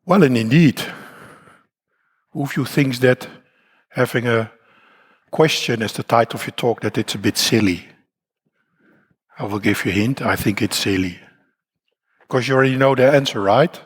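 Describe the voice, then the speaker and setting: A man with a Dutch accent addresses a conference audience, his voice croaky and husky today. His pitch sits in an ordinary middle range for a man. He talks in a loose, self-deprecating way, full of fillers and restarts. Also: moderate pace, long pauses. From Netherlands. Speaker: conference speaker. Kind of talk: conference talk. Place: conference hall.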